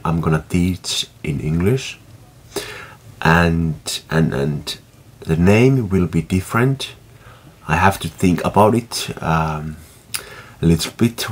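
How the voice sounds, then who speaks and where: A man in his thirties with a Finnish accent, talking casually to camera at home in halting speech full of fillers and restarts. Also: deep voice, breathy edge. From Finland. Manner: halting, many fillers and restarts, gestures with hands. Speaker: vlogger. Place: small room at home with bookshelf, indoors.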